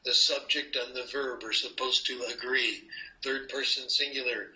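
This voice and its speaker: An older American man talks like a professor at the board, playful and quick with asides. His voice is very bright and forceful. The recording sounds dull and lo-fi. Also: mid-range voice. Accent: American English. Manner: playful, lively teaching tone with asides. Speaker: linguistics professor, interview guest. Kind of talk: explaining to an interviewer.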